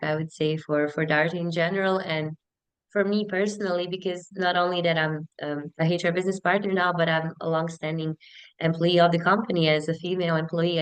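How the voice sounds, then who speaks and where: Smooth, lower-pitched woman with Bosnian accent. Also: fairly even intonation, brisk. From Bosnia and Herzegovina. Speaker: HR business partner, webinar guest. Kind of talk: conversational webinar interview answers.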